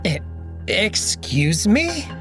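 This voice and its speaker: A man's voice: high voice, big pitch swings, extremely slow, a bit bright, faint music behind, voice pushed up, full character voice. A man voicing a proud young male wolf emperor who is defensive and commanding. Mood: wary. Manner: indignant, commanding outbursts.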